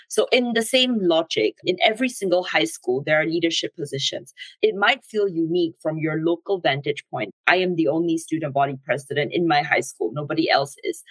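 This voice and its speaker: A woman from Singapore in her thirties, a guest expert explaining things in a measured way in a podcast interview. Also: low voice, dry recording, low-quality recording. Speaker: guest expert, education director.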